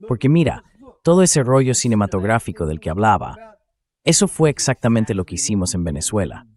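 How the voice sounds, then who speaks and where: A man's voice sits a little on the low side. It is a synthetic dubbing voice, used for a host giving spoken political commentary in translation. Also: sing-song, a little fast, darkish tone.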